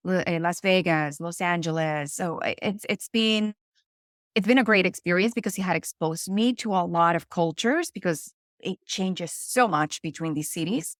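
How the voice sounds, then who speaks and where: A woman's voice: on the low side, fairly bright tone, smooth, dry recording, studio quality. A middle-aged woman from Venezuela with a Venezuelan Spanish accent, talking earnestly as a podcast guest and often restarting her phrases. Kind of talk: conversational interview.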